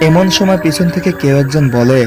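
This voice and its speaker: A man's voice: fairly high pitch, brisk, loud music behind the voice. A man reading scripted horror story narration.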